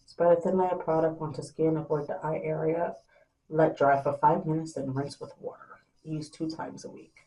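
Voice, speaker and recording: A young woman vlogger in a small room at home, talking softly and rambling to the camera at night, sometimes losing her train of thought. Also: steady tone, normal speed, low-quality recording. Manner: soft and low-voiced at night. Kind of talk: casual late-night chat to camera.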